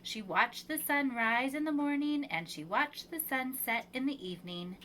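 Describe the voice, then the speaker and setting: A woman reading a children's picture book aloud with measured, expressive phrasing for young children, as a preschool storyteller. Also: sits high, a little hiss or hum.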